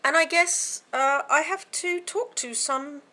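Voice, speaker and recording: A woman vlogging to camera from home, with a croaky voice from a sore throat, chatting in a rambling way with many fillers and restarts. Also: high-pitched, normal speed, pushed and bright. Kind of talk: casual chat to camera.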